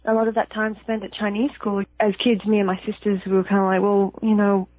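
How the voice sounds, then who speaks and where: A woman's voice: mid-range voice, flat delivery, fast, no room sound. A woman whose first language was Hokkien and who grew up in Australia muses about her past in an easy, chatty way, peppered with fillers. Her voice comes through a narrow, tinny phone line with no room sound at all. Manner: reflective, with many fillers like "you know" and "like". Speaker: musician guest. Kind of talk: casual interview chat.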